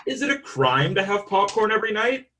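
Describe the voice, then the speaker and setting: A woman's voice: medium pitch, sing-song, brisk, fairly bright tone, a little hiss or hum. A young woman playing a plaintive, put-upon husband in a stage comedy.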